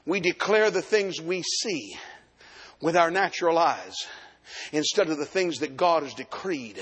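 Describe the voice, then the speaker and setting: A man's voice: fairly high pitch, expressive, measured pace, husky. A man in his sixties preaching a live sermon as pastor, with rhythmic repeated phrases. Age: 60s. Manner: rhythmic repeated phrases, swells into chanted shouting. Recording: long hall reverb, faint music behind.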